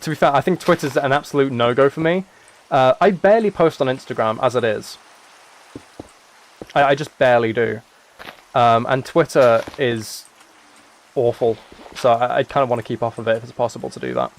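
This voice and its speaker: A young British man chatting casually as he streams a game, rambling and thinking out loud as he goes. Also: medium pitch, lively intonation, normal speed, pauses often, slight background noise. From United Kingdom. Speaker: live streamer.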